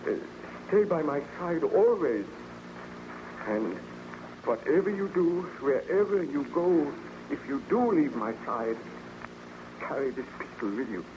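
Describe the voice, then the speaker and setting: A haunted young nobleman in a vintage radio play, anxious and halting. His delivery swings widely in pitch with melodramatic urgency. The old recording is muffled and thick with noise. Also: sing-song. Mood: anxious, urgent. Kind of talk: old-time radio drama acting.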